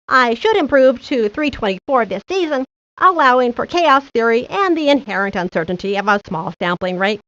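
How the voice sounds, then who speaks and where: A high, nerdy boy's voice, precise and long-winded like a little know-it-all. It is a game character, recorded close and dry with a slightly dull tone. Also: fairly bright tone, dry recording. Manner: pedantic, precise, wordy. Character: nerdy, pedantic young boy genius. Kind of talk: scripted video game character lines. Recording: dull, lo-fi 1990s game audio.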